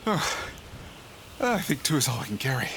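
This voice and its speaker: A man's voice: medium pitch, big pitch swings, normal speed, a bit bright. A man playing a playful, boyish, wisecracking treasure hunter in an audio drama, with a joking, hesitant way of talking. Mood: teasing, affectionate. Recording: clearly noisy, ambient sound behind.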